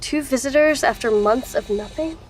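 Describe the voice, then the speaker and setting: Woman acting researcher lady, kinda high voice, wry, matter of fact, audio drama. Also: normal speed, slight background noise, close to an everyday voice.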